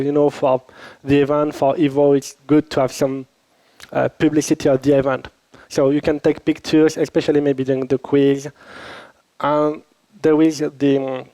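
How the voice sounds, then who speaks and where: A man with a French accent runs a conference workshop. His voice sits a little high but sounds mellow and warm, and it is recorded close and dry. He talks informally and keeps quizzing the room. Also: vocal fry, no room sound, poor audio. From Switzerland. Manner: informal, chatty, addresses audience with questions. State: recovering from a cold. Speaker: workshop instructor. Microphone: handheld or lapel microphone.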